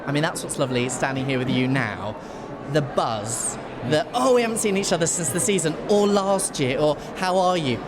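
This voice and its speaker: A man's voice: a little high, moderate pace, a crowd in the background. A man speaking effusively and emphatically in a casual interview at an event. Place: event venue. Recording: noisy venue background.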